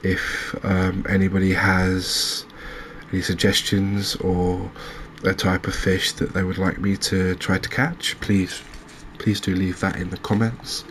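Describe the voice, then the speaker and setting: A soft-spoken man narrating a gaming recap in a calming, hesitant ASMR style. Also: deep voice, slight background noise. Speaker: host of a cozy gaming channel.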